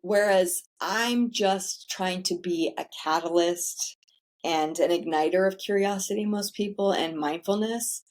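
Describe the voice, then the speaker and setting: A woman with a somewhat low voice, telling her story in a casual interview. She is candid and chatty, and drops the odd swear word without fuss. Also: measured pace. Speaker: podcast guest, founder of a self-care center.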